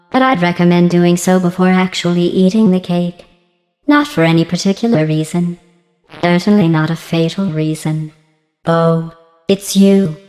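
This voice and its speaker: A woman voicing a passive-aggressive female artificial intelligence in a video game, deadpan and mock-sweet, with synthetic robotic processing. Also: fairly low pitch, long reverb tail. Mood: mock-sweet menace. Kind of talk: scripted video game dialogue.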